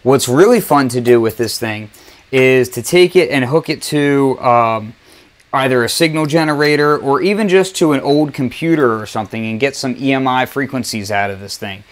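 A man's voice: medium pitch, normal speed. A man presenting a music gear demo in an informal, enthusiastic way, speaking casually from a basement studio. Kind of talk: casual product demonstration.

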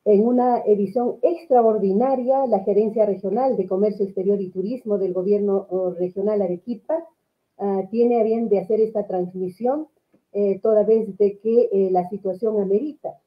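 A woman's voice: medium pitch, steady tone, moderate pace. A middle-aged Peruvian woman hosting an online webinar in a formal, ceremonious manner, speaking on a video call. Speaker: host, regional government crafts official. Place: small room with bookshelves, indoors. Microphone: computer microphone on video call. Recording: dull lo-fi video-call audio.

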